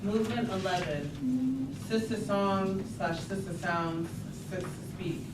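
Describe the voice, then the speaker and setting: A woman's voice: medium pitch, slow. Woman reading out stage directions, far from the mic, noisy room. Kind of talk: scripted stage directions read aloud. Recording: distant, echoey, noisy room.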